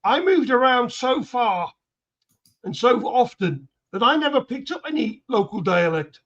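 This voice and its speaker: Older guy on a chat panel, high voice, dry blunt jokes, muffled audio. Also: sing-song, a little slow. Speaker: panel guest.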